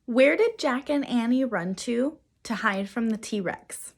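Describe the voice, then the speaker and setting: Husky woman reading a children's story. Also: a little high, expressive. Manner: dramatic emphasis, pauses to quiz listeners. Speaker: read-aloud teacher. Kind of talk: expressive children's story narration.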